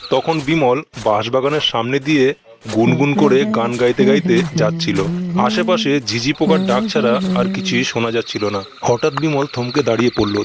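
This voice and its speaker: A man narrating a scripted horror cartoon story. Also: mid-range voice. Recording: noisy recording with background ambience.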